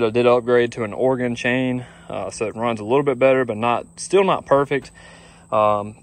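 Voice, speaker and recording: A young man, talking casually to a phone camera outdoors in a grassy yard, rambling and easygoing with lots of fillers. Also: medium pitch. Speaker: lawn care business owner. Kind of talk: casual vlog talk to camera. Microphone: phone camera at arm's length.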